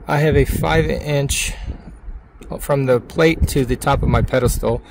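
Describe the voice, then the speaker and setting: A man with a gravelly, fry-edged voice at an average pitch walks through a hands-on job. His delivery is casual and plainspoken, like a home DIY video. Clear background noise from around a vehicle sits behind his voice. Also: measured pace. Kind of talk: casual hands-on how-to narration.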